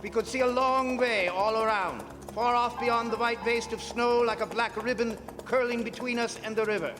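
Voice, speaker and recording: A man's voice: very high-pitched, steady tone, moderate pace. A man playing a grave, commanding foreign professor who hunts vampires, theatrical and emphatic in a live radio drama. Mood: tense, grave. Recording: live stage in reverberant hall, loud music.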